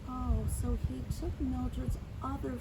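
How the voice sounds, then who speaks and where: A woman's voice: sits high, level tone, unhurried. A woman hosting a children's storytime, reading a picture book expressively and adding questioning asides to young listeners. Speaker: storytime host. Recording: clearly noisy background.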